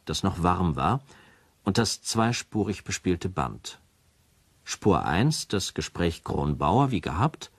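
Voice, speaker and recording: An actor playing a sober investigator who narrates a radio play in a formal, precise, matter-of-fact way. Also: male voice, low voice, no echo, exaggerated voice. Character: sober male investigator reporting case evidence.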